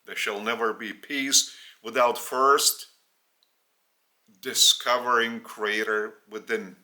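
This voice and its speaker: A man from Lithuania with a Lithuanian accent, a native Lithuanian speaker, giving a spiritual teaching in English in short, halting phrases. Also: medium pitch, fairly even intonation, bright, forceful tone. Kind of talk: spoken religious teaching in English.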